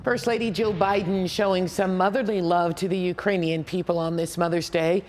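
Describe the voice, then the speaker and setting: A woman's voice: on the low side, fairly even intonation, normal speed, noisy background. A woman reading scripted TV news as an anchor.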